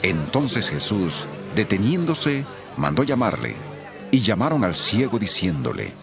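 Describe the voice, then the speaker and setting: A man narrating scripture from a script, telling it in a measured, expressive storytelling way. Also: fairly low pitch, gravelly, over music, a crowd in the background.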